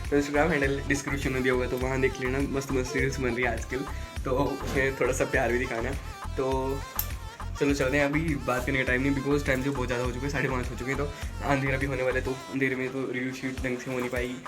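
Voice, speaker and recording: A young man talks straight to his viewers in an easygoing vlog ramble, his voice sitting right in the middle of the male range. Background music runs clearly under his speech. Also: medium pitch, level tone, quick pace. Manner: chatty, addresses viewers directly. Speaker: vlogger. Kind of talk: casual vlog chat.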